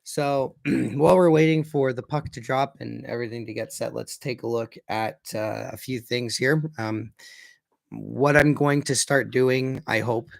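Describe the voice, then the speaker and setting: Hoarse, gravelly-voiced male hockey streamer. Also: mid-range voice, no room sound. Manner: chatty, frequently corrects himself. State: hoarse, raspy voice. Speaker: hockey fan livestreamer. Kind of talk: casual pregame preview chat.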